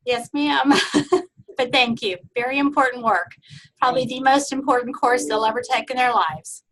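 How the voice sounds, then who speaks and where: Woman teacher from Texas, higher voice, friendly chatter over a video call. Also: normal speed, a bit bright, slight background noise, poor audio. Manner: friendly, chatty, laughs often. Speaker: course instructor. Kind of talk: leading an online class introduction round.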